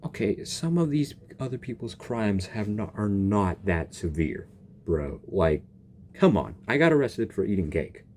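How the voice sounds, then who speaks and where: A slow-paced streamer reacts casually to a game in a soft-edged, mellow voice. The pitch jumps around expressively through slangy, exasperated remarks. There is faint noise behind the close-miked voice. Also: big pitch swings.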